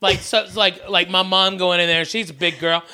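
A woman hosts a podcast with a bright, forceful, brassy voice. She swears constantly and spins wild exaggerations, laughing as she goes. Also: on the low side, fairly even intonation, moderate pace. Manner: profane, brassy, exaggerating, laughing through lines. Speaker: podcast host. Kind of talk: crude comic riffing.

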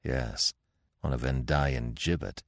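Man acting a very deep, gruff barbarian chief. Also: takes it slowly, no room sound, exaggerated voice. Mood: sardonic. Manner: gruff, growling, sardonic. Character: fierce, proud barbarian warrior chief, big, grim and sardonic.

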